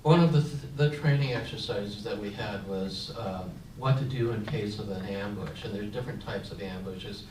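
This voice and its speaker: A man's voice: fairly low pitch, normal speed, few pauses. An older man who grew up in San Francisco, speaking as a veteran on a panel and telling his own story in a reflective way, with frequent fillers and restarts. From San Francisco, United States. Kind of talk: personal storytelling at a panel discussion. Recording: echoey, distant mic with room noise.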